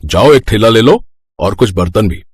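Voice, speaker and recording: Man acting a kindly, gruff grain merchant. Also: lowish voice, animated, normal speed, no room sound, studio quality. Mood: warmly impressed. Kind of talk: acted dialogue in a children's moral story.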